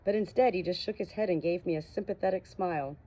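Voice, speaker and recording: Woman narrating a novel, slightly low voice. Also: quick pace, dark tone. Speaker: audiobook narrator. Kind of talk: first-person fiction audiobook narration with acted dialogue. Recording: dull, muffled lo-fi audio.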